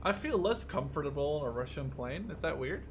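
A young man giving casual, excitable gameplay commentary, swearing freely as he reacts out loud to the game. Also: a little high, brisk, a little hiss or hum. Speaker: gaming video host. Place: small room at home, indoors.

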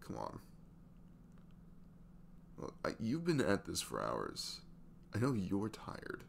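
Tired twenties single dad, acted by a man, slow and halting yet expressive, intimate audio roleplay. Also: fairly low pitch, gravelly, slight background noise, heavily acted. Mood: weary, gentle. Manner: hesitant, halting, softly chuckling. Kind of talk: intimate ASMR boyfriend-style roleplay.

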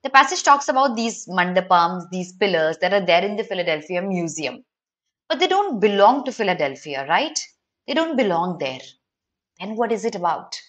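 A woman's voice: medium pitch, very animated, normal speed, fairly bright tone, muffled recording. A young woman with an Indian accent teaching an online exam lesson, explaining options to students directly with emphatic questions and switching between two languages. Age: young adult. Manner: mixes Hindi and English, addressing students directly.

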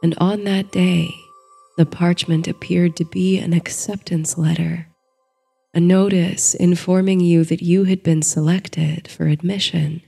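Female sleep-story narrator: very slow, even and soft-edged, with mellow low-key tone over music. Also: vocal fry, slightly rough. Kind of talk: slow, soothing bedtime story and guided meditation narration.

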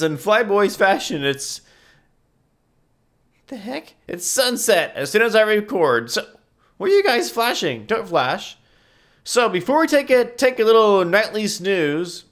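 A young man with a stuffy, nasal voice talks through his gameplay in a casual ramble. His voice sits high and swings widely up and down. He keeps restarting his sentences and chuckles at himself along the way. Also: a bit bright. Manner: rambling, self-correcting, giggly. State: congested, nasal from a stuffy nose. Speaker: gaming YouTuber.

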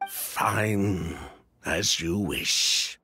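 A man voicing a guarded, suave merchant in a game, with a formal, smooth-talking, theatrical manner. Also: low-pitched, bright, forceful tone, slight background noise, full character voice. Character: suave, guarded male merchant, an ex-convict swindler. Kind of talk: scripted game dialogue.

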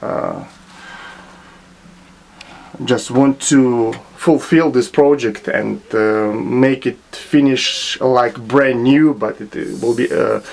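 A man with a Russian accent explaining his own restoration work in a small home workshop, speaking haltingly with many filler sounds and restarts. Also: mid-range voice. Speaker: hobbyist restorer. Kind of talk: hesitant show-and-tell narration.

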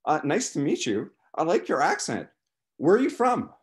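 A man's voice: a little high, sing-song, moderate pace, voice pushed up. An awkward, eager teenage boy, acted by a male reader in a story. He is polite and tentative. His voice is raised, with bouncy intonation. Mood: curious.

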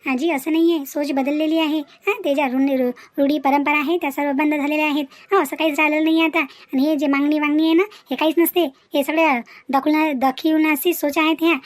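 A woman's voice: high-pitched, fairly even intonation, brisk, dry recording, natural voice. A woman playing an outspoken, lecturing young niece in a family cartoon. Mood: indignant. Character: outspoken young niece scolding dowry-seeking elders. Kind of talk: cartoon family drama dialogue.

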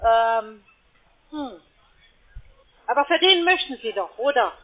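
High-voiced woman on a tinny phone line. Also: expressive. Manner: hesitant, halting, persuading. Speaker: call centre trading salesperson. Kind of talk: unscripted sales phone call. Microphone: telephone.

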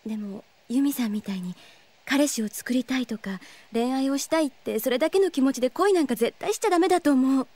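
A woman playing a gentle, earnest young teacher in an anime audio drama, softly admonishing and a little sad. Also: high-pitched, fairly quick, no room sound. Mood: earnest, concerned. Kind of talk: anime radio drama acting.

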